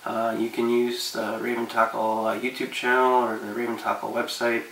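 Gravelly man chatting casually in echoey room. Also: medium pitch, moderate pace, recorded from a distance, slight background noise. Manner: relaxed, rambling, many fillers. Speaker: fishing vlogger. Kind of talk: casual advice to camera. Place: living room, seated on couch.

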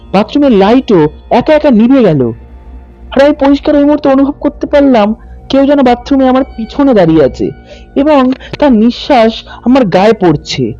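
A man's voice: very high voice, dark tone. A man narrating a horror story as a channel host. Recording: muffled lo-fi audio with music behind. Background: music bed.

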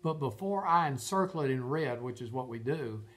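A man's voice: mid-range voice, lively intonation, normal speed, fairly bright tone. An older man giving informal Bible teaching in an earnest, emphatic way, often repeating his phrases. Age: older, about 70s. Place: furnished room at home.

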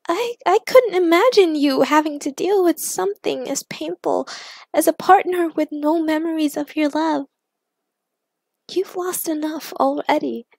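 Young heroine for audio story, played by a woman: high, slow, halting, tearfully earnest, confessing to a lost love. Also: a little mellow, no echo. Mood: sad, earnest. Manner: halting, emotional, pleading.

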